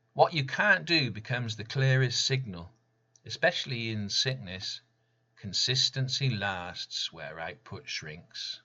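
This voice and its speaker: Male poet, very bright forceful voice, unhurried emphatic delivery, short phrases, slightly dull lo-fi recording. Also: fairly low pitch. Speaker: poet reading own poem. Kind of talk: poetry recitation.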